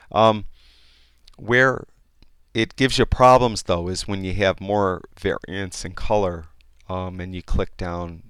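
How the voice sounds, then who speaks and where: A man explaining a photo editing program in a casual, rambling tutorial, with many fillers and restarts, and a voice that is getting hoarse. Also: deep voice, dry recording. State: losing his voice. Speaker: software tutorial instructor. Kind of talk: casual screen-recorded tutorial.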